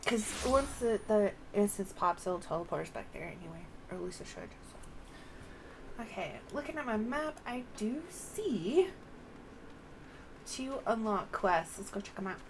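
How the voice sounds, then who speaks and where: A woman's voice: mid-range voice, lively intonation, unhurried, background noise. A woman doing casual live gameplay commentary, muttering and thinking aloud to herself. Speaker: game streamer.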